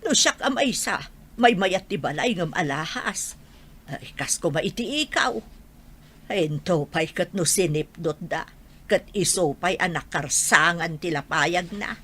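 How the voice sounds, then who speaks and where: A woman's voice: fairly low pitch, animated, measured pace, fairly bright tone, husky, full character voice. A woman playing an inquisitive village wife who gossips, in a Filipino radio drama. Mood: gossipy, surprised.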